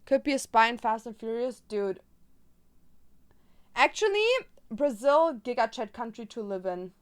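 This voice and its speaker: A woman's voice: fairly high pitch, lively intonation, unhurried, smooth. A young woman from Germany, a native Spanish speaker, chatting casually and rambling with lots of fillers while she crafts on stream.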